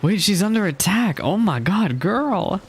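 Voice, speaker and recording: A man's voice: high voice, animated, measured pace, slight background noise. A man streaming a game with casual, playful, slangy and exclamatory live commentary. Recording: game music faintly behind. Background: game music and dialogue.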